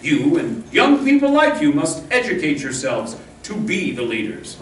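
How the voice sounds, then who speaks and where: Radio Western hero, played by a woman: noble masked lawman, earnest, declamatory, unhurried delivery. Roomy stage, distant mic. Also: low voice, huge pitch swings, slight background noise, full character voice. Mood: earnest, resolute. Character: steadfast, noble masked male Texas Ranger hero. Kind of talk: old-time radio Western acting.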